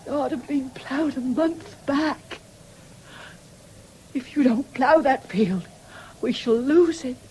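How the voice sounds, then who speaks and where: An actress playing an ailing, stubborn farm wife with an English accent in a 1970s radio drama, weak and insistent. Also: female voice, high-pitched, takes it slowly, pauses often, darkish tone, poor audio. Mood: fretful, demanding. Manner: insistent, repeating demands. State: weak and failing. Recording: hissy old radio broadcast recording.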